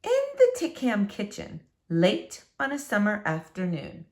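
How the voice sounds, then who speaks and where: A woman reading a children's book aloud as a storyteller, with expressive, dramatic emphasis. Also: mid-range voice, measured pace.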